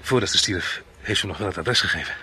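An actor playing a calm, dry-humoured male detective who explains things in a level way in an old radio play. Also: deep voice, quick pace, a little hiss or hum. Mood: steady. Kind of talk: radio drama acting.

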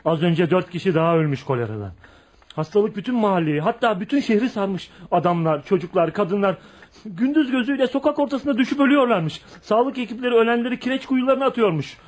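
A man's voice: sits high, animated, fast talker. A man acting a fierce, bossy, protective young man in a Turkish radio play, with an emphatic, theatrical delivery. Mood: grave, resolute.

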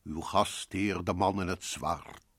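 Creepy genteel horror host man, slow husky delivery, mock-cosy, radio drama style. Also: low-pitched, steady tone, no echo, studio quality, full character voice. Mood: sly, mock-cosy. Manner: unhurried, mock-cosy, sardonic. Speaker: host of horror story series. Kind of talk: theatrical radio horror hosting.

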